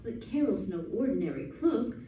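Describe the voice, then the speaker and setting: An actress playing an anxious, affectionate detective's wife in an old-time radio drama, earnest and fretful. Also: female voice, mid-range voice, big pitch swings, normal speed, dark tone, lightly acted. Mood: worried. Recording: echoey live stage, distant mic, faint noise.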